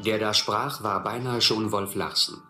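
An actor playing a reflective narrator who recalls his hobo youth in a radio play, measured and literary. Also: on the low side, fairly even intonation, sharp tone, faint music behind. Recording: roomy, clearly noisy radio play recording.

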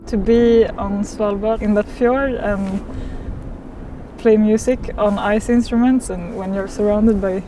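Slow, mellow woman, distant. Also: medium pitch, fairly even intonation. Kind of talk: documentary interview. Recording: distant from the mic, music behind.

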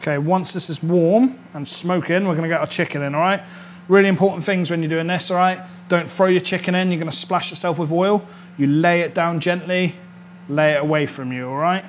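A British man in his thirties presents an informal cooking demonstration as a chef. His voice sits a little higher than average, and he explains each step in a chatty, instructional way, often checking that the listener follows. Some background noise from the kitchen set can be heard. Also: fairly even intonation, brisk. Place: indoor kitchen studio set.

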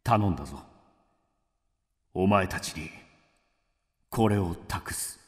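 A man's voice: low-pitched, slow, breathy edge, no echo, studio quality, heavily acted. An actor playing a grim, resolute man of ancient China in a radio drama, stern and commanding. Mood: solemn. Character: grim, resolute man: doomed swordsmith and mysterious avenger. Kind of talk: theatrical radio drama acting. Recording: music behind the voice.

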